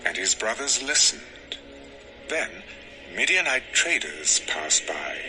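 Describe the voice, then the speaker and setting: Low, expressive male Bible narrator. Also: low-quality recording. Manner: solemn storytelling. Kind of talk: scripted dramatized Bible narration. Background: music.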